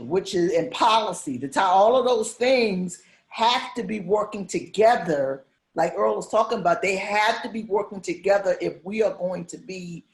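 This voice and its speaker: A woman's voice: lowish voice, a little mellow, roomy sound. A woman moderating an online panel informally, emphatic and repeating key phrases on a video call.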